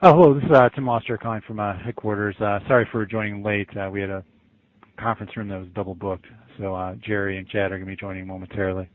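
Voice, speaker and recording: A man leading an informal work conference call over the telephone, addressing colleagues briskly. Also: fairly low pitch, steady tone. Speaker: meeting leader. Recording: tinny, narrow phone line.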